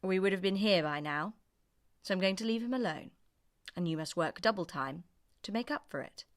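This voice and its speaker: Woman as a brisk storybook master. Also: mid-range voice, expressive, natural voice. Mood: resigned. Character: brisk, apologetic man, master of working animals.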